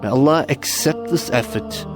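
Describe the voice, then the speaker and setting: A man's voice: mid-range voice, normal speed. A man narrating scripted religious sayings, with music behind his voice. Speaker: narrator of hadith.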